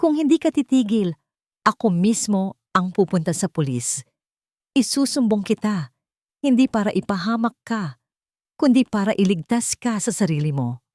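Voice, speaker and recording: A woman's voice: medium pitch, big pitch swings, normal speed, pauses often, warm tone, dry recording, studio quality, pitched above the performer's own voice, natural voice. A woman voicing an earnest man in an audiobook drama, urgent and pleading as he confronts a lost love. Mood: grave, firm.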